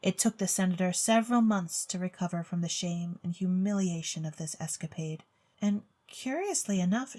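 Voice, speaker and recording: A woman narrating a scripted storybook tale in an expressive storytelling manner. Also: fairly low pitch.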